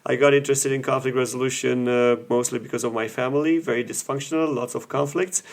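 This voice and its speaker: A man, a guest professor from Romania, talking in a measured way and pausing often between phrases in a casual interview. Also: medium pitch, steady tone.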